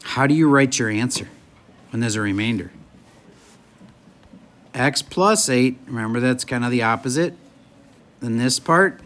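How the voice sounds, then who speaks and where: A man's voice: medium pitch, lively intonation, unhurried, pauses often, a bit bright. A male math teacher lecturing a classroom in a chatty, joking way while losing his voice. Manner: chatty, jokey, moves around the room.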